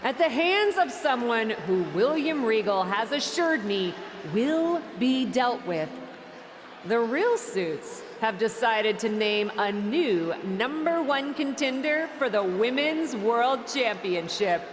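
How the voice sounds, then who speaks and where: A woman's voice: fairly high pitch, lively intonation, takes it slowly. An actress playing a cocky, self-important female wrestler in a video game, giving a showy, sarcastic promo. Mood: boastful. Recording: long arena reverb, clear background noise.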